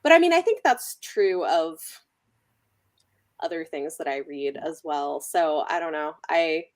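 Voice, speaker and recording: A woman with a slightly low voice talks casually as host of an online book chat. She hedges conversationally as she goes, often checking in with a "you know". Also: lively intonation, measured pace. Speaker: host of a book discussion livestream. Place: online group video call.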